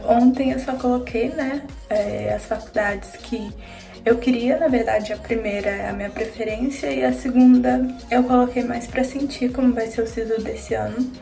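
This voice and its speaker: A woman's voice: a little high, creaky, dark tone. A young woman vlogger chatting casually to her viewers, with frequent fillers. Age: young adult. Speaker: student vlogger. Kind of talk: casual vlog chat. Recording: echoey room, faint music.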